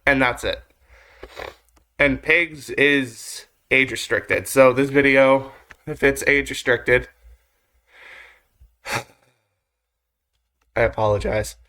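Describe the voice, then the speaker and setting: A man's voice: mid-range voice, steady tone, slow pace, long pauses, sharp tone. A man giving casual, rambling reaction commentary as a video host, with slangy phrasing and mild swearing. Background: music or drone from the video being watched.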